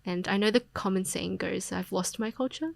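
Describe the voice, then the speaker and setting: A young Australian woman, a poet, talking reflectively as a podcast guest, with plenty of fillers and soft laughs. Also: medium pitch, moderate pace, creaky, no room sound. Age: young adult. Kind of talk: casual interview conversation.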